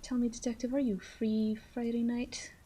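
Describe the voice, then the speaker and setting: A woman's voice: on the high side, little pitch movement, mellow, low-quality recording. A woman playing a flirty, self-assured female mafia boss in a roleplay audio drama, coy and teasing as she speaks straight to the listener. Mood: coy flirtation. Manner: coy, teasing, drawn-out phrasing with breathy asides.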